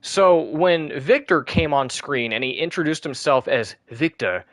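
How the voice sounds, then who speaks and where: A man's voice: on the high side, expressive, unhurried, no room sound. A man giving casual, chatty commentary while playing a video game, rambling and often restarting his sentences. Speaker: gameplay commentator. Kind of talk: casual let's play commentary.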